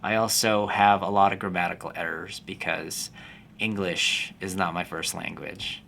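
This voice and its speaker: A man in his forties, born in the Philippines, a fitness business coach talking to camera in a calm, slow, unhurried way. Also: low-pitched, vocal fry, a little hiss or hum. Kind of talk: casual talking-to-camera vlog.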